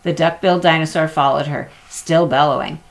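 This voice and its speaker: A woman narrating a children's chapter book aloud in an expressive storytelling manner. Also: low-pitched, a little fast. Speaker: storybook reader.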